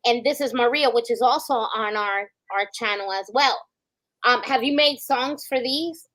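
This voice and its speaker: A woman's voice: fairly high pitch, normal speed, a bit bright. A woman co-hosting an interview, chatty and affirming. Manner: chatty, affirming with frequent "mm-hmm". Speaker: co-host. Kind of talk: interview conversation.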